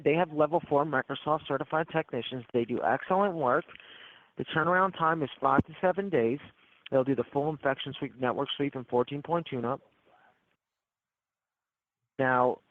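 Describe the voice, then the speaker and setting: Man pitching over a tinny phone line. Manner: smooth, persistent, repeats selling points. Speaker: phone tech support salesman.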